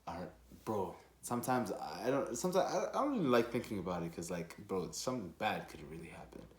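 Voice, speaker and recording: A young man from Rwanda telling a personal story casually, in an animated, slangy and profane way. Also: lowish voice, brisk. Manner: animated, slangy, profane, with acted-out quotes and sound effects. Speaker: storytelling vlogger. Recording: echoey, roomy sound.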